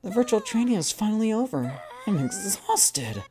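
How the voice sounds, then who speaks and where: A woman's voice: medium pitch, moderate pace, pitched above the performer's own voice. A woman voicing a bubbly female android, a playful, clingy older sister who teases and coaxes in a game story. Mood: weary, whiny. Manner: playful, animated, coaxing.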